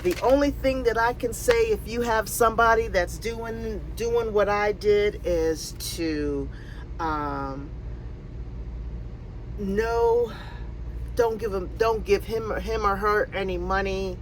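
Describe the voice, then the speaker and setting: A woman's voice: mid-range voice, slow pace, a little hiss or hum, low-quality recording. A woman in her fifties from Connecticut, casually answering viewer questions on her van-life vlog, rambling with many fillers and restarts. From Connecticut, USA. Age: 50s. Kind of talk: casual Q&A chat. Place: in or near her RV.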